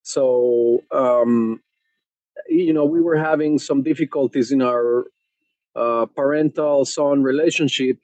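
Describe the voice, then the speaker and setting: A man from Mexico, a podcast guest telling his story with many pauses and fillers. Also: medium pitch, big pitch swings, darkish tone, no room sound, low-quality recording. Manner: hesitant, many fillers and restarts. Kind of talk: conversational interview.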